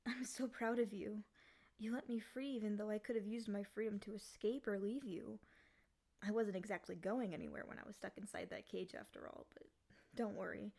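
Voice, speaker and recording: A lonely demon girl acted by a woman speaks in an intimate ASMR monologue. Her medium-pitched voice has a strong crackly fry and often sinks to a breathy whisper. She sounds tender and possessive, utterly fixated on the one she loves. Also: natural voice. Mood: devoted, tender. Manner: soft, doting, often dropping into breathy whispers. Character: lonely, obsessively devoted female yandere demon, once sealed.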